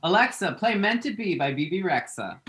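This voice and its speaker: A man's voice: sits high, expressive, brisk, fairly bright tone. A man acting a dramatic, lovestruck man in his late twenties in a rom-com script read, rambling and quippy. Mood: excited, insistent. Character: dramatic, lovestruck, scrolling-obsessed twenty-seven-year-old man. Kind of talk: screenplay table read, rom-com dialogue.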